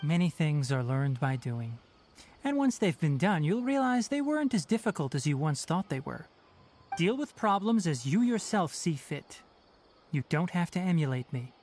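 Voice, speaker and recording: A man voicing a calm, wry young male forest ranger who mentors his apprentice in a video game. He talks in a composed, measured, dryly instructive way. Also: fairly high pitch, faint music behind.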